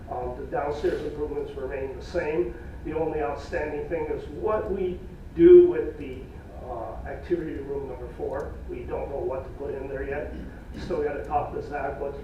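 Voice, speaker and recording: A man presenting cost estimates at a public meeting in a plain, folksy way, losing his voice, with halting fillers. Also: on the high side, dark tone, slight background noise. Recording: echoey room from far off, dull.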